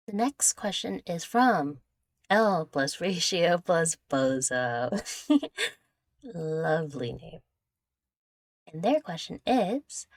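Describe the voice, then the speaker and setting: Low-pitched young woman, close dry sound. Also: no echo. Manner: rambling, giggly, self-deprecating. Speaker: content creator answering viewer questions. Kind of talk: casual Q&A chat.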